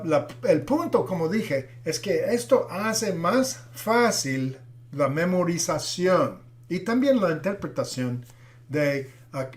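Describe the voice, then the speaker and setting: A middle-aged man, a pastor who is not a native Spanish speaker, teaching a Bible class with many pauses, fillers and restarts. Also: a little high.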